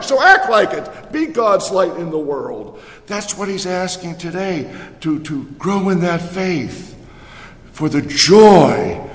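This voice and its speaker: High-voiced preacher in an echoing church. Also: male voice. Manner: animated, swinging between loud exclamations and low drawn-out phrases. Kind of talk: sermon. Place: large church. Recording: echoey long reverb of a church.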